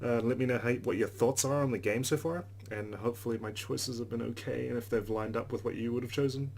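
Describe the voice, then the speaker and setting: A man's voice: mid-range voice, fairly even intonation, quick pace, vocal fry, a little mellow, a little hiss or hum. A man hosting a let's play, reading visual novel narration dramatically and reacting with exclamations.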